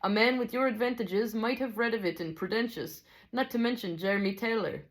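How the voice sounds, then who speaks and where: A woman voicing a wise old Scottish teacher, patient and deliberate, with an attempted Scottish accent and old-fashioned phrasing. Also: a little high, pitched above the performer's own voice, natural voice. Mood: patient, instructive.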